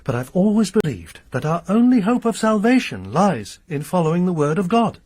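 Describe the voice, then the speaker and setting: A grim, pious, husky lodger. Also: male voice, sits high, very animated, moderate pace, darkish tone, no echo. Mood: solemn. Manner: formal, sermonizing, quotes scripture. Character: grim pious lodger, grieving vengeful father. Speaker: lodger under arrest. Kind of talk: radio drama acting.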